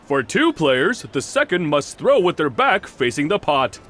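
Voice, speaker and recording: A polite festival game host for a video game, high pitched, super animated, showy announcer type. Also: male voice, unhurried, faint music behind, full character voice. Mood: genial, placating. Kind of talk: video game cutscene voice acting.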